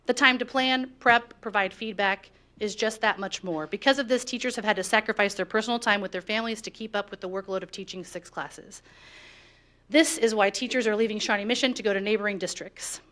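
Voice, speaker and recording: A woman's voice: medium pitch, brisk, a bit bright. Woman reading a firm prepared statement. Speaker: teachers' union negotiator. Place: board of education meeting room.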